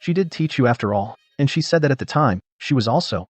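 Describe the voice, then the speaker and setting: An actor as a supportive male teacher with a husky, medium-pitched voice. He speaks in a measured, reassuring drama style, recorded very dry. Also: lively intonation, no echo, lightly acted. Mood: kindly, reassuring. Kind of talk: dubbed radio drama acting.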